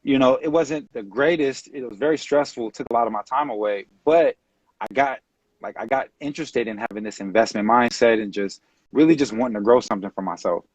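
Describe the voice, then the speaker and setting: A man in his late twenties from California, talking casually as an interview guest on a video call, relaxed and rambling with many fillers. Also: medium pitch, a little fast, breathy edge, no room sound. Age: late 20s. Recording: dull dry video-call audio.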